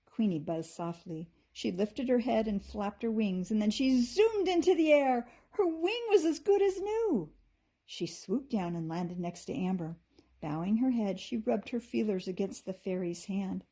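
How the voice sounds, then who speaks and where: A woman with a husky voice reading a children's story aloud expressively, like a teacher to her class. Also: medium pitch, expressive, unhurried, dark tone.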